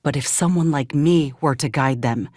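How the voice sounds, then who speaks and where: An earnest, steadfast female ex-soldier from a video game space frontier. Her is folksy and plainspoken, with measured delivery. The sound is very dry and close, without room. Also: low-pitched, a little mellow, no echo. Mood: somber, resolute. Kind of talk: video game character dialogue.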